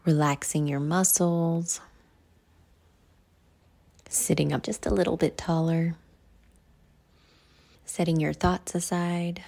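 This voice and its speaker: A woman leading a guided meditation in a measured, instructional way. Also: lowish voice, little pitch movement, gravelly, dark tone.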